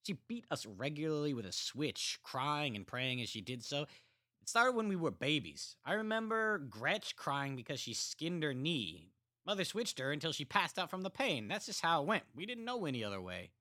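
A man doing Sven, a calm, eerie killer. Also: on the high side, moderate pace, no room sound, studio quality, pitched above the performer's own voice. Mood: offhand. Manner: matter-of-fact, eerily calm confession. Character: adult man, soldier, calm unsettling killer.

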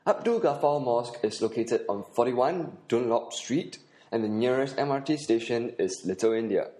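A man's voice: mid-range voice, a little mellow. A man with a Singaporean accent, hosting a podcast and narrating an audio tour with earnest instruction. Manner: earnest, instructive, with occasional uh fillers.

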